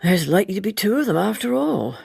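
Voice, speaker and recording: A woman's voice: mid-range voice, very animated, fast talker, vocal fry, darkish tone, breathy edge, dry recording, clean studio sound, pitched above the performer's own voice, heavily acted. A woman voicing a gossipy, disapproving English housekeeper in an audiobook, huffy, colloquial and full of insinuation. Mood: sniffy.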